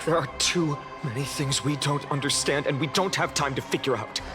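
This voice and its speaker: A man's voice: a little high, normal speed, over music, close to an everyday voice. Man acting an earnest, resolute young warrior leader. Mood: resolute, grave. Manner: solemn, declarative, heroic delivery. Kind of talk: English video game voice acting.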